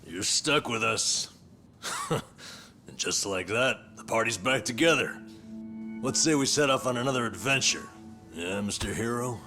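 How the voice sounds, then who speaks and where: An actor playing a gruff, loyal, easygoing older man who teases his friend in a video game cutscene. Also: mid-range voice, expressive, measured pace, long pauses, over music. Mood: fond, playful.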